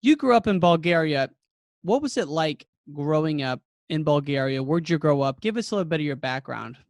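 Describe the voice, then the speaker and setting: A man's voice: a little high, expressive, brisk, dry recording, clean studio sound. A man hosting a podcast and interviewing a guest, upbeat and friendly. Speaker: podcast host.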